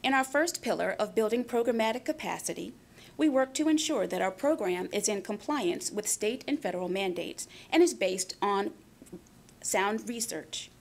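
A woman's voice: mid-range voice, expressive, moderate pace, creaky. A woman giving a scripted narration for a school division's program presentation.

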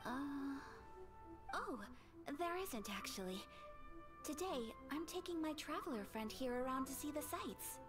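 A young woman playing a polite, bashful noblewoman in an anime-style game, formal, gentle and hesitant. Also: high voice, animated, a little slow, far from the mic. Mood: modest, flustered. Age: young adult. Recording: game music behind the voice.

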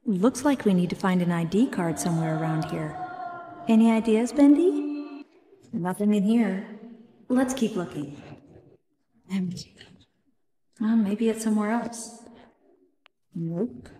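A woman's voice: mid-range voice, a little slow, long pauses, warm tone, close to an everyday voice. An actress playing an earnest, determined young woman trapped in an inky cartoon world in a video game, speaking conversationally and expressively. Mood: searching. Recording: echoey hall reverb.

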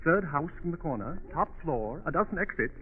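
Man playing a high-pitched, fussy, stammering informant. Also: animated, a little fast, slight background noise, lightly acted. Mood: evasive. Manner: stammering, repeats himself, mock-polite. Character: fussy, nervous, oily underworld male informant. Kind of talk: 1940s radio drama acting. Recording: tinny, phone-like old radio recording.

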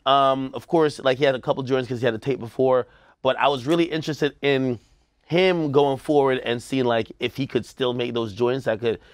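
A young man co-hosting a studio talk show in casual, animated banter, joking and trash-talking with the other hosts. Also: medium pitch, moderate pace, no room sound. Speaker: co-host. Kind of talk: casual studio panel chat. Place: TV studio set.

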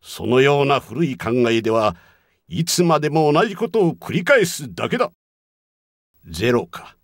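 An actor voicing a haughty, menacing yeti combat robot in a Japanese video game, with an imperious, scornful, declamatory delivery and a gravelly voice. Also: male voice, mid-range voice, sing-song, normal speed, no room sound, clean studio sound. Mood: cold disdain.

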